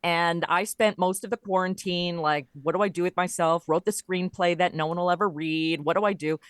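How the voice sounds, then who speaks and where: A middle-aged woman with a slightly low voice talks casually as an interview guest. She is wry and jokey, and the sound is close and dry, free of room echo. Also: fairly even intonation, fairly quick. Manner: wry, joking. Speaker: podcast guest, audio comedy creator.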